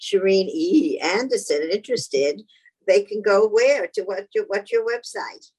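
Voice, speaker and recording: A woman's voice: mid-range voice, a little slow, darkish tone, slightly rough, low-quality recording. A middle-aged woman hosting a podcast, asking direct questions in a playful way. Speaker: podcast host. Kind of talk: interview questions.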